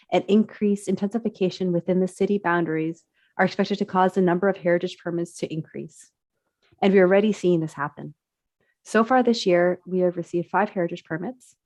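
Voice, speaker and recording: A woman on municipal staff, getting over a cold and sounding raspy, presenting slides at a committee meeting in measured, prepared remarks. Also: fairly low pitch, darkish tone, dry recording. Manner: measured, reads prepared remarks, clears throat.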